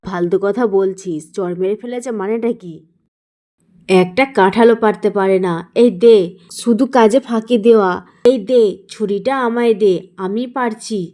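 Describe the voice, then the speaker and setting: A woman's voice: medium pitch, normal speed, warm tone, natural voice. Woman voicing a bossy, greedy tiger chief. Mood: scornful. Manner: scolding, blustering. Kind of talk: cartoon story voice acting.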